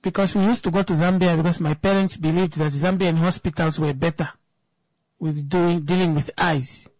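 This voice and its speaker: A woman's voice: on the low side, steady tone, normal speed, darkish tone, no echo, rough recording. A woman, giving an emphatic opinion talk that turns into a personal story, repeating words for stress.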